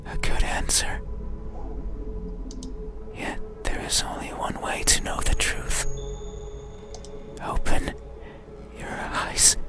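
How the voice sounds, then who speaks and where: A hollow, mysterious creature played by an actor whispers very slowly, wistful yet menacing, in a horror visual novel. The hushed, breathy voice swings widely, with loud music behind it. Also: close to an everyday voice. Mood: probing, menacing. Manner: slow, halting, hushed delivery.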